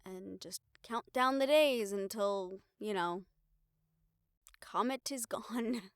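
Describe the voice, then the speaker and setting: A woman acting a young reporter girlfriend in an intimate audio roleplay, wistful but bravely upbeat, musing in halting, expressive phrases. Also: medium pitch, clear, resonant tone, no room sound, studio quality, natural voice. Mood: hopeful, reassuring.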